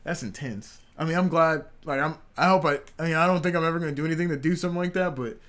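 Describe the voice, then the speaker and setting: A man co-hosting a casual podcast, talking in an animated way, swearing and laughing often. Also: a little high, very fast. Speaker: podcast co-host. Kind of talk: casual chat.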